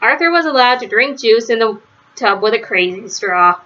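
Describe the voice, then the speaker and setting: A woman's voice: fairly high pitch, lively intonation, normal speed, pushed and bright, muffled recording. A woman reading a children's story aloud as narrator. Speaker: storybook reader.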